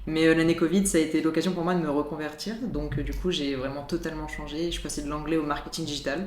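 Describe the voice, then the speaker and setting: A woman's voice: deep voice, fairly even intonation, very fast, darkish tone, a little hiss or hum. A woman, a guest in a casual round-table chat, telling her story in an easygoing way. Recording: echoey room.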